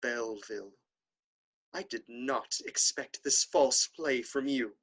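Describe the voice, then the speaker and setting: A man's voice: mid-range voice, takes it slowly, fairly bright tone, slightly rough, full character voice. An actor playing a stern, honor-obsessed nobleman brother in a classical stage comedy, declaiming in a haughty, indignant way.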